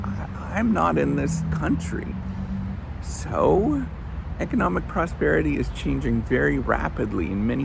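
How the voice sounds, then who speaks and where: Creaky-voiced man giving earnest advice. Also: background noise. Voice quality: squeaky, squawky. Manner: earnest, halting with repeated phrases and pauses. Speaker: professor giving motivational advice. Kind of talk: informal motivational monologue to viewers.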